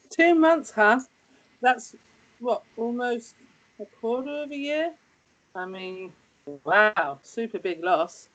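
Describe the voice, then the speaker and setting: A woman's voice: on the high side, expressive, slow, long pauses, fairly bright tone, dull audio, exaggerated voice. Woman playing emphatic, teasing middle-aged mother. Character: wry, loving, no-nonsense scientist mother, past forty-five. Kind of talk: screenplay table read acting.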